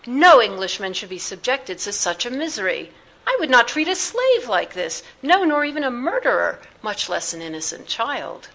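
A woman's voice: fairly low pitch, animated, normal speed, few pauses, sharp tone, full character voice, low-quality recording. A woman voicing an angry, righteous middle-aged Englishman in a storybook, declaiming formally and indignantly. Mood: outraged.

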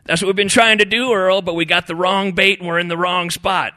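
A man's voice: on the high side, very animated, measured pace, pushed and bright, voice pushed up, exaggerated voice. A man voicing a brash, foul-mouthed male fishing buddy in a story, teasing with heavy sarcasm. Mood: irritated, mocking.

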